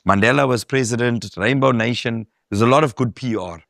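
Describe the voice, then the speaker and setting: A man's voice: medium pitch, fairly quick, creaky, fairly bright tone, no echo, studio quality. A South African man hosting a casual interview, chatty with lots of fillers and some swearing. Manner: conversational, frequent fillers, swears. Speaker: host.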